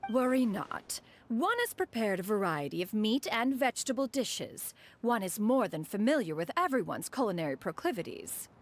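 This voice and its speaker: A woman's voice: mid-range voice, sing-song, normal speed, few pauses, a little hiss or hum. A woman playing a proud, aloof female immortal in a fantasy game, speaking in a haughty, formal, old-fashioned way. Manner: haughty, formal, archaic phrasing. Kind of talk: fantasy game cutscene acting.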